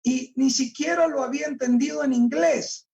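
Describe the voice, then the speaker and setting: A male pastor preaching a sermon, reading scripture aloud and explaining it with deliberate emphasis on key words. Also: very high-pitched, fairly even intonation, creaky, a little mellow, recorded from a distance, lo-fi sound. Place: church.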